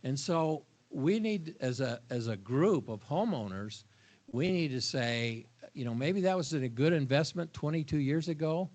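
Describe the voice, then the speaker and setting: A man's voice: on the high side, lively intonation, measured pace, a little mellow. An older man, a grandfather and HOA board member, speaking frankly to homeowners at a town hall meeting, with stammering restarts.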